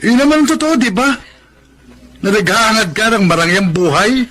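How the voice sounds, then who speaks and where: Man acting a jealous young Filipino lover, higher-pitched. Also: normal speed, background noise, natural voice. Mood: jealous, accusing. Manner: emotional, accusatory. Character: jealous, hot-headed poor young man in love. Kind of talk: Filipino radio drama acting.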